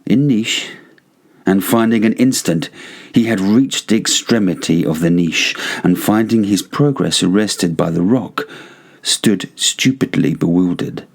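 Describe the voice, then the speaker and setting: A man narrating gothic fiction as an audiobook, in a measured, theatrical manner. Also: sits low, animated, gravelly.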